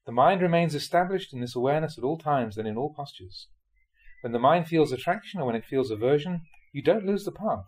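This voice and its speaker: Measured man reading Buddhist teachings aloud. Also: fairly high pitch, animated. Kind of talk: scripted audiobook-style reading of dharma talks.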